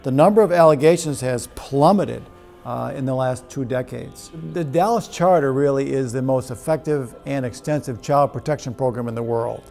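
A middle-aged priest argues his point insistently in a documentary interview. His voice is gravelly and warm, recorded close in a dim indoor room with soft music beneath. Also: mid-range voice, normal speed. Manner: emphatic, insistent.

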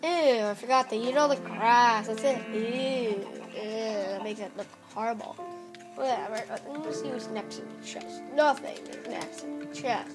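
Rambling young gamer girl, slow talk. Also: fairly high pitch. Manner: rambling, chatty, excitable squeals at small wins. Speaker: Minecraft player filming her screen. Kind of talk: casual gameplay commentary. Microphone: phone camera. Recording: phone camera aimed at a TV, music in background.

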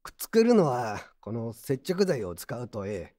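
An actor playing a gruff but kindly old pottery mender with brusque old-man speech, in a Japanese audio drama. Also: mid-range voice, huge pitch swings, normal speed, dark tone. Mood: kindly, reflective. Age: older.

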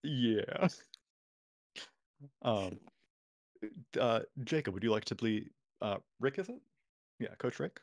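A man's voice: medium pitch, very animated, slow, long pauses, dry recording, clean studio sound. Hesitant man running a tabletop game. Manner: hesitant, many fillers and restarts. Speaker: game master.

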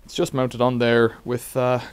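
A man's voice: medium pitch, unhurried, clear, resonant tone, no echo. Chatty man in a bike workshop. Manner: relaxed, rambling, wry asides. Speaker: bike repair vlogger. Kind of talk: casual how-to demonstration. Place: cluttered bike workshop indoors.

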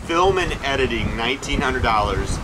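A man co-hosting a sailing vlog, plainly walking through costs one item at a time with frequent pauses and fillers. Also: mid-range voice, lively intonation, background noise. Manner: matter-of-fact, listing figures with many uh fillers. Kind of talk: casual expense breakdown. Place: aboard a sailboat.

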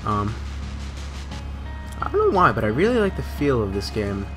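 A man's voice: on the low side, huge pitch swings, moderate pace, over music. A man doing casual video game commentary as a let's player, informal, rambling and sweary, on a desktop microphone. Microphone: USB desktop microphone.

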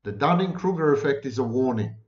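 An emphatic man in his sixties lectures into a desk webcam, slow and measured, piling up synonyms. His room sounds roomy and the audio is dull and muffled. His voice sits at an ordinary middle pitch. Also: expressive. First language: Hebrew. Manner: deliberate, emphatic, gesturing, lists many near-synonyms. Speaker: lecturer on psychology. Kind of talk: lecture-style monologue to camera. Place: home room indoors.

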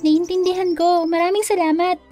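A woman's voice: extremely high pitch, little pitch movement, normal speed, dark tone. A woman voicing an earnest, determined young princess in a fairy tale cartoon, pleading in manner. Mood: worried, determined. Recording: music under the voice.

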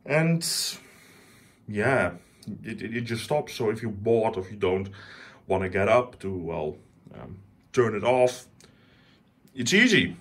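A man with a Dutch accent and a fairly low voice walks through his living room with a phone camera. He talks eagerly, stumbling through restarts and fillers. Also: big pitch swings, slow pace, pauses often, breathy edge. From Netherlands. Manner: enthusiastic, with many fillers and restarts. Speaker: hifi enthusiast showing his system. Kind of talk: casual home tour vlog.

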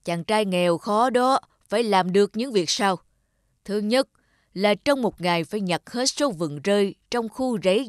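A woman voicing a stern, threatening male thunder god in an animated fairy tale. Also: mid-range voice, dry recording, studio quality, close to an everyday voice. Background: prominent music.

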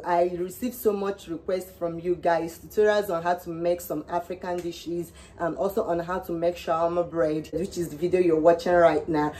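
A woman's voice: fairly low pitch, breathy edge. A young Nigerian woman with a Nigerian accent, hosting a home cooking channel and walking viewers through a recipe step by step in a friendly way. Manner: friendly step-by-step instruction with some non-standard phrasing. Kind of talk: voice-over cooking tutorial. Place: home kitchen. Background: soft background music.

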